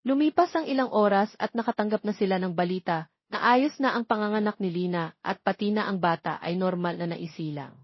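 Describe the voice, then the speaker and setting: A woman's voice: medium pitch, fairly quick, no room sound, muffled recording. A woman reading a scripted romance story as narrator, in a close voice-over.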